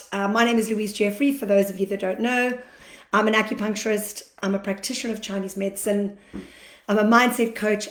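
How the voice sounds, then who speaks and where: Slightly croaky woman on an echoey livestream. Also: medium pitch. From Australia. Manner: chatty, warm greetings to viewers, many fillers. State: slightly croaky from allergies, clears throat. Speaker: acupuncturist hosting a live Q&A. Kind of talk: casual livestream talk.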